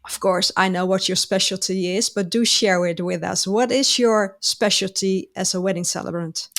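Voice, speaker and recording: A woman's voice: mid-range voice, steady tone, moderate pace, fairly bright tone. A woman hosting an interview, asking friendly, encouraging questions. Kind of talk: interview host.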